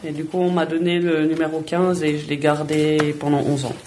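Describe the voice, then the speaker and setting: A woman's voice: sits low, level tone, normal speed, mellow, a little hiss or hum. A woman, a footballer answering children's questions plainly and in a friendly way, often repeating words as she thinks. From Switzerland. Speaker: footballer guest.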